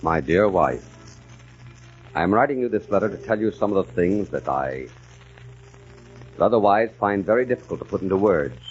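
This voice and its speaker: An actor playing a troubled middle-aged gentleman recalling his shell-shocked past, earnest and hesitant, in radio drama style. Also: low voice, animated, soft background music. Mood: earnest, confessional. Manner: earnest, halting and hesitant in dialogue. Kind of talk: 1940s radio drama acting, dramatic first-person narration. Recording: muffled, noisy 1940s radio recording.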